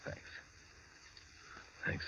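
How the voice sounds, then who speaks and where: An actor playing an earnest, bewildered intellectual accused of murder in a radio drama, halting and stammering in a husky voice. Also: male voice, bright, forceful tone, exaggerated voice. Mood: shocked, distressed. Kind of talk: old-time radio drama acting. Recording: dull, lo-fi radio drama recording.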